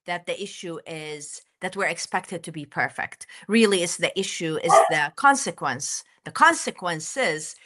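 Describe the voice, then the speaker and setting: A woman from Jordan telling her personal story as an interview guest, speaking in an earnest, deliberate way. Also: lowish voice, animated, sharp tone.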